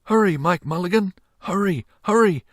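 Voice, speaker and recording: A man's voice: high-pitched, normal speed, fairly bright tone, no room sound, studio quality, voice pushed up. A man voicing an eager little boy in a children's storybook reading. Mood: urgent.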